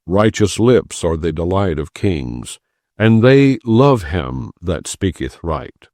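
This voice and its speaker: A man narrating an audiobook of scripture in a solemn, formal, measured reading style. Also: low-pitched, sing-song, a little mellow, dry recording, clean studio sound.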